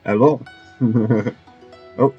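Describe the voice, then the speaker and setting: A man's voice: mid-range voice, steady tone, very slow, highs cut off, faint music behind. A man giving casual gameplay commentary, dropping short reactions as he plays. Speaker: gamer playing through a story quest.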